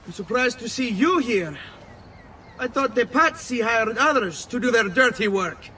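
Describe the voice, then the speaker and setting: High-pitched young Italian man, lively swooping delivery, brash hot-blooded charmer, video game cutscene, music behind. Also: a little slow, a little hiss or hum, exaggerated voice. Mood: mocking. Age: young adult. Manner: brash, teasing.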